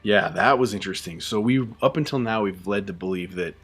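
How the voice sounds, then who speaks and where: Deep voiced guy rambling on a live stream, relaxed, lots of ums. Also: a little fast, slightly rough, faint music behind. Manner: relaxed, chatty, many fillers and restarts. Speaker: YouTube host reacting to a show. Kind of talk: casual live reaction chat.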